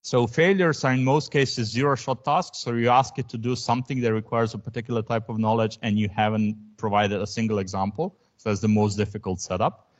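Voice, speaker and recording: A man's voice: on the low side, fairly even intonation, fairly quick. A man whose first language is Croatian, giving an academic lecture in a thoughtful way, with frequent ums and restarts. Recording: dull lo-fi recording.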